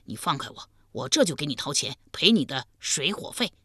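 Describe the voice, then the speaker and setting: A woman voicing a stubborn male village butcher in a lowered, gruff voice. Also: very animated, a little fast, bright, forceful tone, no room sound, clean studio sound, full character voice.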